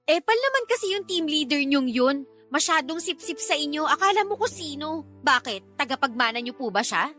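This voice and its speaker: Radio drama role: defensive, sassy young Filipino niece, high and bright, light music underneath. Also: female voice, very animated, moderate pace, full character voice. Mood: insolent, sassy. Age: young adult. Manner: sulky, argumentative, sassy. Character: insolent, defensive young niece working in uncle's shop. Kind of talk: Tagalog radio drama acting.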